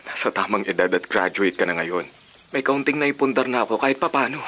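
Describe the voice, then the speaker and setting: A man's voice: lowish voice, expressive, brisk, pushed and bright. A man playing an earnest, insistent secret lover in a Filipino radio drama. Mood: earnest frustration. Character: earnest, insistent young male secret lover, self-made.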